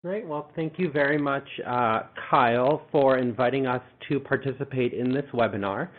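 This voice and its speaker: A man with a cold giving a webinar presentation, sounding congested and pausing often with fillers. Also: medium pitch. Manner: halting, many uh fillers. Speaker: presenter, policy manager. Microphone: online webinar connection. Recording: phone-like webinar audio.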